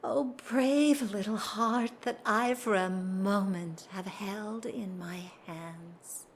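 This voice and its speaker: A woman's voice: mid-range voice, a little hiss or hum. An actress playing a dignified married society woman in love, speaking formally and earnestly in a period audio drama. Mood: bittersweet farewell. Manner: formal, earnest, theatrical, measured delivery.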